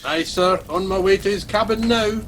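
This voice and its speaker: An actor playing a dour, deadpan ship's engineer in a comic radio play. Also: male voice, high voice, normal speed, slight background noise. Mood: matter-of-fact.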